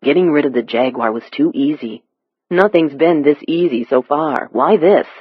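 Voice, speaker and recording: Narrator as wary american guy, thriller hero, terse and low-key, firm, dry audiobook recording. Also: normal speed, husky, no room sound, narrow, tinny audio, natural voice. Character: wary, blunt American man, quick-witted thriller hero.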